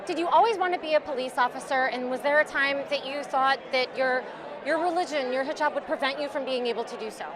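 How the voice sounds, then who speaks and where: High-voiced woman red carpet host. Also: fast. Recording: music and crowd behind.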